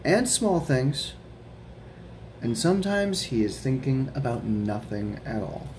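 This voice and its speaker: A young adult man reading a children's picture book aloud at home, in a gentle storytelling way with chatty asides and questions for the listeners. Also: mid-range voice, expressive, slow pace, a little hiss or hum. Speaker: guest reader of a children's book. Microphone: camera mic.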